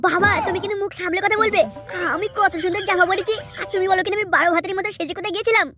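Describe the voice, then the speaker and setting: High squeaky lady doing cartoon voices, crude comic banter, super rapid. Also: fairly even intonation, pushed and bright, soft background music. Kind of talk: Bengali cartoon voice acting, several roles.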